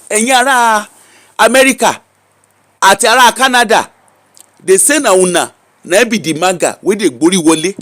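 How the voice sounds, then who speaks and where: A man's voice: sits high, a bit bright, dry recording. A man with a Nigerian accent giving casual, mocking commentary full of rhetorical questions. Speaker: social media commentator. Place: UK-based.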